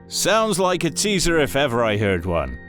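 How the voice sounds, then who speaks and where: A man voicing a conversational male talk show interviewer in a horror audio story, expressive in his delivery. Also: on the high side, moderate pace, music in the background, voice pushed up. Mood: curious, upbeat. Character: male talk show host, chatty, growing nervous and disbelieving.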